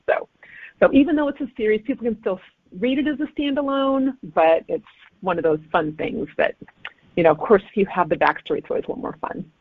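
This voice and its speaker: A woman's voice: medium pitch, breathy edge, no echo. Female author being interviewed, casual and conversational, mid-range voice through a phone-quality connection. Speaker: author guest. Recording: thin phone-like line.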